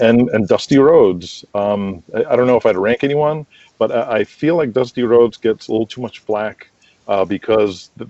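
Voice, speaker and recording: A man being interviewed as a guest, chatting casually in an enthusiastic, rambling, halting way. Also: fairly low pitch, dark tone, no echo, muffled recording. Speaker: interview guest, wrestling promoter and booker.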